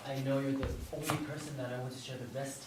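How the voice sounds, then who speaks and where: A man's voice: medium pitch, close to an everyday voice. Guy acting as mild polite young boyfriend in a play, echoey room, kinda far from mic. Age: young adult. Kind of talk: amateur stage play dialogue. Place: indoor stage performance, recorded from off. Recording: echoey distant stage recording, loud noise.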